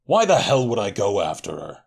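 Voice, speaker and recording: A man's voice: lowish voice, wildly swooping pitch, brisk, gravelly, darkish tone, husky, full character voice. A man playing a blunt, irritable and stubborn military marshal in an audio drama. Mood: irritated, defensive. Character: gruff, stubborn military marshal, guilt-ridden, defensive. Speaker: voice actor. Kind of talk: audio drama dialogue and narration. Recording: music under the voice.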